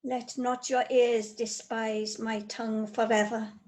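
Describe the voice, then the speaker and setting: A woman playing a grave, reluctant noble messenger in an amateur Shakespeare radio play, speaking in solemn, measured verse. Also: fairly high pitch, little pitch movement, a little mellow. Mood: reluctant dread. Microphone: recorded over video call.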